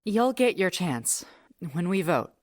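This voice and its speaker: A woman's voice: lowish voice, very animated, slow pace, darkish tone, a little hiss or hum. A woman playing a firm, practical young woman in an audio drama, speaking in a businesslike way.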